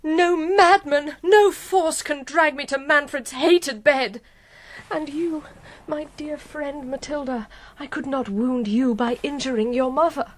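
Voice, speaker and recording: A woman's voice: sits high, slow. A young woman playing a proud, passionate noble maiden in a Gothic radio drama, declamatory and emphatic. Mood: outraged, anguished. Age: young adult.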